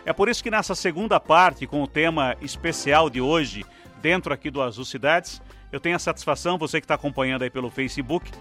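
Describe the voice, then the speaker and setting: A man's voice: a little high, a little fast, pushed and bright, soft background music. A Brazilian man hosting a live radio news interview in a formal announcer cadence. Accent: Brazilian Portuguese. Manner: formal announcer cadence, long flowing sentences. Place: radio studio.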